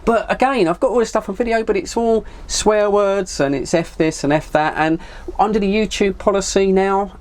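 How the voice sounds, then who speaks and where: A man's voice: sits high, moderate pace. Middle-aged man, southern English accent. Casual vlogger, chatty, anecdotal, wanders as he talks. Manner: rambling, colloquial storytelling. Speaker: vlogger, CB radio hobbyist.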